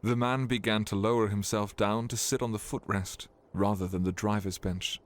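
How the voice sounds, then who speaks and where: A man's voice: fairly low pitch, fairly even intonation, moderate pace. A man reading scripted narration for a fantasy audio drama as its storyteller.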